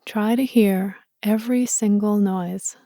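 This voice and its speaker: A woman guides a meditation in a slow, unhurried voice that is mellow and soft. She sounds gentle and soothing, like a motherly guide. The sound is dry and close, with no room around it. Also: medium pitch, fairly even intonation, no echo.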